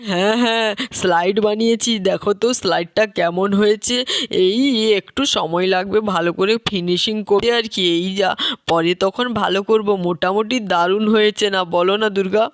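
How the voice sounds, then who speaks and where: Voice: medium pitch, fairly even intonation, moderate pace, no room sound, studio quality, close to an everyday voice. A performer voicing a cheerful, boastful village dad in a doll-play story, chatty and eager for approval. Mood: proud, playful. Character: Bhim, cheerful village dad showing off his homemade slide.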